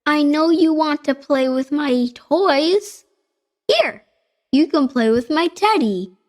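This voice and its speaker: A kind little boy in a bedtime storybook, played by a woman, speaks in a high, swooping voice. He is excitable and friendly, with a childlike delivery. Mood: coaxing. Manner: slow, expressive, childlike delivery.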